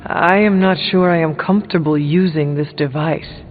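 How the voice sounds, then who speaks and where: A man voicing a stern, formal warrior hero in a video game, speaking in a measured, serious and blunt way. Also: a little high, long reverb tail, phone-quality sound, soft background music, natural voice. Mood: questioning. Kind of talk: video game dialogue acting.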